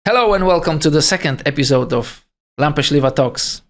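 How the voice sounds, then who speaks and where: Male podcast host, higher voice, gravelly, friendly and halting. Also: animated, faint music behind. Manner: friendly, slightly hesitant, repeats himself. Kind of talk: casual podcast conversation.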